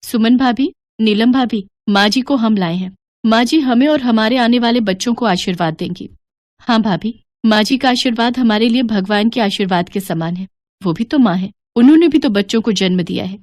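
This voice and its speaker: A woman's voice: fairly high pitch, normal speed, darkish tone, dry recording, natural voice. A woman voicing a kind, respectful young daughter-in-law in a family story, in an earnest, soothing manner.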